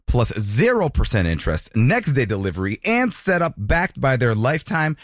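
A man hosting a morning radio show, chatting with a caller and reading ads in a lively, chatty way. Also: mid-range voice, normal speed, dry recording, clean studio sound. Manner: lively, chatty, laughs easily. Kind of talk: radio show talk and ad reads. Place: radio studio.

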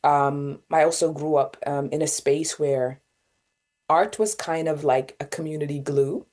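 Low-voiced woman, reflective and searching. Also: steady tone, unhurried, a little mellow. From Sudan. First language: Arabic. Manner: thoughtful, many fillers and restarts. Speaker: guest poet and artist. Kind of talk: reflective interview talk.

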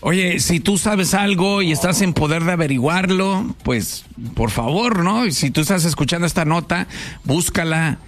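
A man's voice: on the high side, moderate pace. A man with a Mexican accent hosting a radio show, commenting casually on news in slangy, conversational language.

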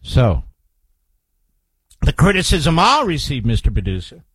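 A man's voice: mid-range voice, huge pitch swings, a little slow, fairly bright tone, husky, no echo. An older man with an American accent hosting a political talk radio show, emphatic and combative, repeating phrases to drive his point home. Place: radio studio. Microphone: close studio microphone.

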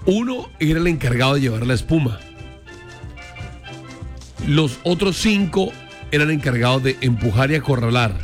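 A man's voice: medium pitch, expressive, moderate pace, pauses often, faint music behind. A man co-hosting a morning radio show, posing questions to listeners and telling stories. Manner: storytelling, asks listeners questions. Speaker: radio co-host.

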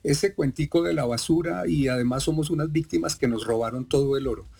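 A man's voice: fairly high pitch, brisk, vocal fry, darkish tone, slightly rough. A middle-aged man from Colombia with a Bogotá accent, a doctor explaining at length and with emphasis as an interview guest. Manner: lecturing, emphatic, digressive.

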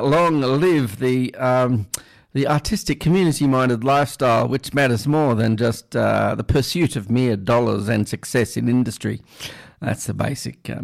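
Gravelly, halting man on radio. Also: mid-range voice. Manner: hesitant, halting, rambling. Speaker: community radio host. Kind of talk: casual radio presenting, song introduction. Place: radio studio.

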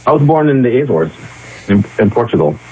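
A man's voice: mid-range voice, brisk, slightly rough, low-quality recording. A man guesting on a talk radio show, talking casually and animatedly, acting out quotes. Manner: animated, quotes others in raised voice, laughs often. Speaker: weekly guest columnist.

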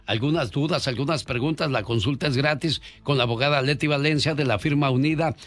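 A man's voice: medium pitch, fairly quick. A man hosting a radio talk show, joking with his guest and reading out a phone number twice. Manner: jokey, then reads out a phone number twice. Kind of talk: Spanish-language call-in radio talk.

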